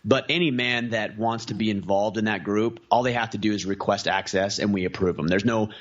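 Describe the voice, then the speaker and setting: A man with a fairly low voice speaks earnestly in a relaxed interview, stacking example after example as he explains himself. The audio sounds dull and lo-fi, with the top end muffled. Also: a little fast. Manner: earnest, piles up lists of examples. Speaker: podcast guest, community founder. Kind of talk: casual interview talk.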